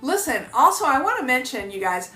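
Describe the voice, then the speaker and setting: A woman's voice: high voice, very animated, brisk, pushed and bright, roomy sound, faint music behind. A woman in her fifties vlogging in a chatty, upbeat way, full of fillers and asides to her viewers. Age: 50s. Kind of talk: casual talk to camera.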